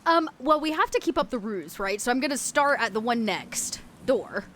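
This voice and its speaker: A woman with a higher voice explains her moves as a player in a tabletop game. She speaks casually, with some noise behind her. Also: sing-song, normal speed, fairly bright tone. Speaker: player in an actual-play podcast. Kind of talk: casual tabletop roleplay chat.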